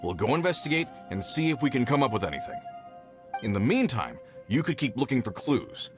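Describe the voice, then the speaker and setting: Guy doing a no-nonsense mine boss, lively voice, soft music behind. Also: mid-range voice, fairly quick, close to an everyday voice. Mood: businesslike. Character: worried, practical mine boss. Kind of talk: video game voice acting.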